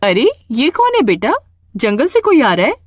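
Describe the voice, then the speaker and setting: A woman voicing a kind, soft-hearted village mother in a storybook tale, with an expressive, pleading way of talking. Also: on the high side, normal speed, no room sound, clean studio sound, pitched above the performer's own voice. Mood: surprised.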